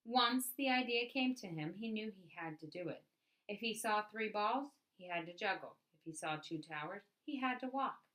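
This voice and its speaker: A woman reading a picture book aloud as a storyteller, with expressive narration and dramatic emphasis on key words. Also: medium pitch, normal speed, poor audio.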